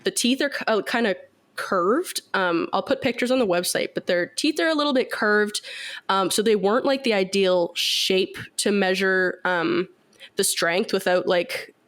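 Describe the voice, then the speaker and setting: A woman's voice: mid-range voice, moderate pace, fairly bright tone. A woman with a cold sounding congested, chatting on a podcast as she explains a science fact with lots of fillers. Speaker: podcast co-host.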